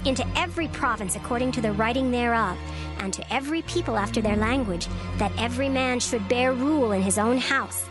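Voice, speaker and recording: A woman reading scripture as narrator in a steady, formal way. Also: fairly high pitch. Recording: narration over music bed. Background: music.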